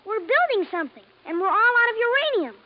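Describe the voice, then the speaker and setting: A woman voicing an eager, pleading, excitable small Martian child in a vintage radio drama. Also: very high voice, quick pace. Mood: urgent, pleading. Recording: dull, faintly noisy old radio recording.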